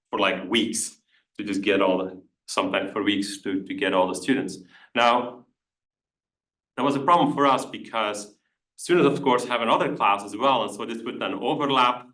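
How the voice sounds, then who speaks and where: A man's voice: fairly low pitch, fairly bright tone. A man with a Belgian accent gives a research talk as an engineering professor, hesitant and full of restarts and filler words. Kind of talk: conference talk with audience questions. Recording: echoey room, voice from far off the mic.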